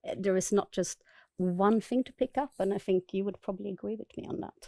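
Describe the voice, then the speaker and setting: A woman's voice: mid-range voice, brisk, mellow, dry recording. A woman from Sweden speaking as a retail buyer on a panel, enthusiastic and chatty with many fillers. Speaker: buying director, panelist. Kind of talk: panel discussion.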